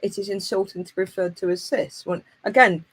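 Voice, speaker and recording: A woman's voice: medium pitch, fairly even intonation, brisk, husky. A woman with a mid-range voice hosts a live online conversation. She speaks passionately, building her points through emphatic rhetorical questions. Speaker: host. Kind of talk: live video interview, casual conversation.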